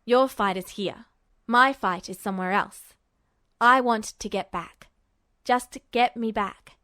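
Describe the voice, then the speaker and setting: A woman voicing a pensive, cryptic teenage boy soldier in a story, speaking in cold, deliberate, clipped phrases. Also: a little high, sharp tone, no echo, clean studio sound. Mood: wry, earnest. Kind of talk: audiobook character dialogue.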